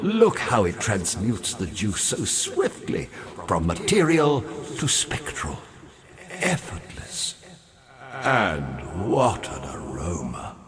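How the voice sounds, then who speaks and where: A man's voice: low voice, wildly swooping pitch, takes it slowly, a crowd in the background, full character voice. An actor playing a grandiose, roguish undead sea captain in a video game, florid and pompous, wry and morbidly cheerful as he savors his words. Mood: gleeful, rapturous. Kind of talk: theatrical video game character dialogue.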